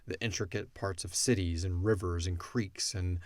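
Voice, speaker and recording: Male podcast co-host, lowish voice, very dry recording, chatting loosely and slangily about his fiction show. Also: fairly even intonation, moderate pace, darkish tone, dry recording. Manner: informal, slangy, thinks aloud. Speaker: podcast co-host and audio drama writer. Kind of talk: casual Q&A chat about an audio drama.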